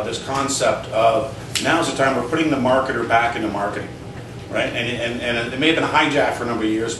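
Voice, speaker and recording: A Canadian man talks casually to a live audience, loud and full of "right?" and "uh". He speaks without a microphone, so his voice comes from a distance in an echoey meeting room. Steady noise sits under the whole recording. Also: mid-range voice. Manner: loud, chatty, full of fillers and tag questions. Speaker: internet marketing consultant giving a talk. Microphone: no microphone, picked up from a distance.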